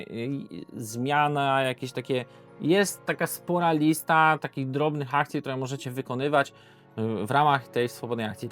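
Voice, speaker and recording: Higher-voiced man explaining games informally. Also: fairly bright tone. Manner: conversational, asks questions and answers them himself. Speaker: board game reviewer and presenter. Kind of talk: informal unscripted game explainer. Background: background music.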